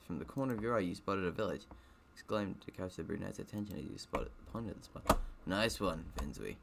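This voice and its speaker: A man's voice: deep voice, quick pace, creaky, heavy background noise. A man reading a game's story aloud with casual, rambling asides, breaking off to comment as he goes. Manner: rambling, self-interrupting. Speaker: gaming video host.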